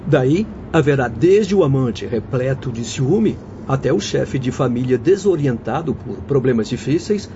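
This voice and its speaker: An actor playing a courteous, earnest doctor and spirit guide in a radio drama, with formal declamatory delivery. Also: male voice, medium pitch, expressive, unhurried, hardly any pauses, lightly acted. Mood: earnest, grave. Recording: dull lo-fi audio, clear background noise.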